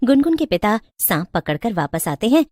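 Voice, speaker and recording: A woman's voice: mid-range voice, big pitch swings, moderate pace, a little mellow, no echo, clean studio sound. A woman reading scripted narration for a moral story as its storyteller.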